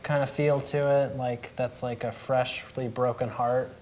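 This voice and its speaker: A woman's voice: rumbling low, steady tone, a little slow, a little mellow, a little hiss or hum. A woman talking casually and informally as a host and photographer discussing pictures. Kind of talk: casual chat. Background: faint music.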